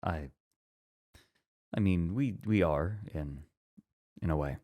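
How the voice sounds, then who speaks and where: Low-voiced, thoughtful male academic played by an actor, composed and formal, for ASMR roleplay. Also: takes it slowly, warm tone. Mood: flustered. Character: composed, introspective, scholarly male college teacher.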